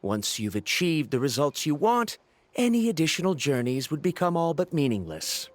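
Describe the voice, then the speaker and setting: An actor playing a proud, stubborn scholar in a video game, speaking formally and argumentatively with a touch of bitterness. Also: male voice, a little high, big pitch swings, normal speed. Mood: lecturing, self-assured. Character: proud, stubborn, embittered adult male scholar. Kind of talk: video game character dialogue.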